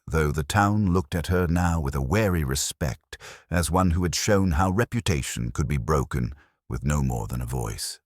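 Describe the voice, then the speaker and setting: A man's voice: low voice, no room sound, clean studio sound. A man reading scripted mystery narration as a dramatic storyteller.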